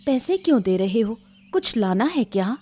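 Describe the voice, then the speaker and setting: A woman voicing a caring village wife in a cartoon story, affectionate and gently nagging. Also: fairly high pitch, sing-song, moderate pace, husky. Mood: chiding. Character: caring village wife, mildly chiding homemaker. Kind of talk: Hindi cartoon moral story acting.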